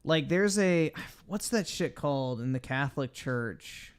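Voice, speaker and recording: Clear-voiced man, blunt casual streamer. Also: on the high side, expressive, a little slow. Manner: blunt, heavily profane. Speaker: livestreamer. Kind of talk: casual reaction commentary.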